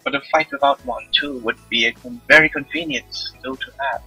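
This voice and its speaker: Man voicing a bright, bossy young warrior woman. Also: medium pitch, huge pitch swings, fairly quick, creaky, breathy edge, no echo, soft background music, exaggerated voice, low-quality recording. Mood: commanding. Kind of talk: visual novel character reading.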